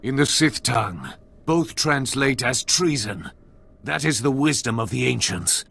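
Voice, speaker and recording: Gravelly, menacing Sith lord. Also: male voice, medium pitch, animated, fairly bright tone, heavily acted. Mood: cold, imperious. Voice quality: raspy, gravelly. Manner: imperious, deliberate pronouncements. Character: cold menacing male Sith lord, a ruthless military commander. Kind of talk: video game voice acting.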